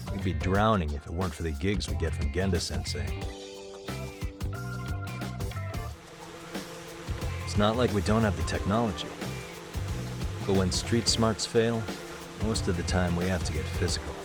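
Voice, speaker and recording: A cool male private detective played by a man in a video game, speaking in a low American voice. He is understated and wry, never hurried in temper, with music playing underneath. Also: natural voice. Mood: wry narration. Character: cool, level-headed male private detective in his thirties. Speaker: detective agency owner. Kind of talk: English game dub acting with casual dialogue and narration.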